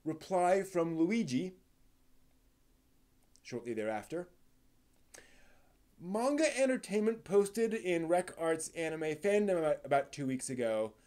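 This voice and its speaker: A man with a voice a little higher than most hosts a live stream. His delivery is casual and rambling, that of someone presenting informally and sometimes reading aloud. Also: mellow. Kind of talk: casual live stream talk about anime with theatrical read-aloud segments.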